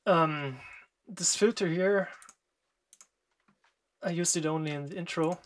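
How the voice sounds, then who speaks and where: A music producer from Germany, a native German speaker with a German accent, talks through a screen-recorded walkthrough in a hesitant, halting way with many fillers and short pauses. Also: mid-range voice.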